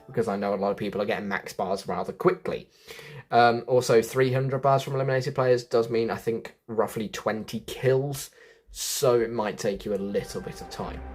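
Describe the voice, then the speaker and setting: A man giving a casual, chatty walkthrough of a video game, often thinking aloud as he guides viewers. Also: medium pitch. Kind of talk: casual gameplay walkthrough commentary.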